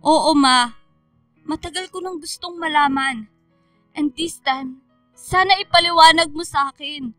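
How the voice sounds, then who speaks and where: Plaintive young Filipina student, higher voice. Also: female voice, measured pace, long pauses, bright, forceful tone, no room sound, faint music behind. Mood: hurt, demanding. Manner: plaintive, emotional. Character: troubled, stubborn young female student, a daughter. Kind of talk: radio drama acting.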